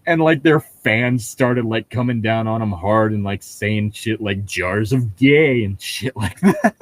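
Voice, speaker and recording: A man with a fairly low voice talks casually and shares his opinions freely. He rambles and swears as he goes, and the recording is dry, with little room sound. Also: measured pace, no room sound.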